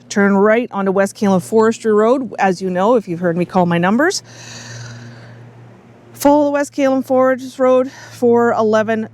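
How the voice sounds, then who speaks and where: A middle-aged Canadian vlogger narrating casually and candidly, thinking aloud with a wry, rambling manner. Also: a little mellow. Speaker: outdoor travel vlogger. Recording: noisy, vehicle and outdoor rumble.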